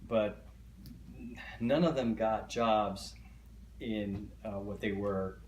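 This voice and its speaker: Middle-aged man, first language Hungarian, low monotone voice, halting with frequent fillers, echoey room with faint hiss. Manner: hesitant, many fillers and restarts. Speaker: interviewee. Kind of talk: oral history interview.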